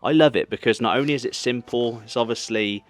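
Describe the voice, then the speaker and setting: A man's voice: medium pitch, brisk. A man in his thirties with an English accent, hosting a casual countdown vlog in a chatty, opinionated way, talking straight to viewers. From England. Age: 30s. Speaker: host of a football shirt channel. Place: small room full of football memorabilia. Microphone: small wireless mic held in hand. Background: music.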